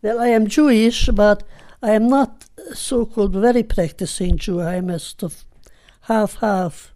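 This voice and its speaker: An older woman from Hungary with a Hungarian accent, recalling her life in an unhurried, reflective way during an oral history interview. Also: medium pitch, mellow. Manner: unhurried, reflective, simple phrasing.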